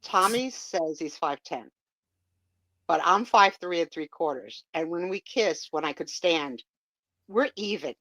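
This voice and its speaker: A woman's voice: fairly low pitch, a little slow, no echo, rough recording. A woman hosting a casual live chat stream, joking and teasing her co-hosts. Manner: playful teasing, lively delivery.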